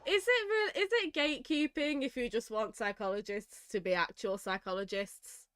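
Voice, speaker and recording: A woman's voice: on the high side, moderate pace, fairly bright tone, clean tone, no echo. A woman doing casual reaction commentary over a video game, with dry, sarcastic, giggly humour. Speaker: gaming video host, psychologist.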